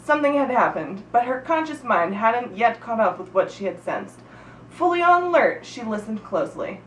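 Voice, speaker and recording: A woman with a fairly high, very lively voice reads a funny story aloud to friends. She is giggly and informal, tripping over words and breaking off to comment. The recording is echoey and sounds somewhat far from the mic. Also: moderate pace. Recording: echoey room, distant mic, background noise.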